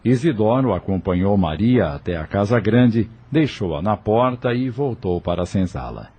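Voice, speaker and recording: Husky, low-voiced male story narrator. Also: lively intonation, moderate pace, dark tone. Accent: Brazilian.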